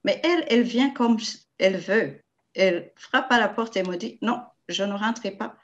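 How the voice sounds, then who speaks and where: A woman's voice: mid-range voice, normal speed, muffled recording. A woman sharing a personal story in a forum, speaking earnestly and restarting her sentences. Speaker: forum participant. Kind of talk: personal testimony.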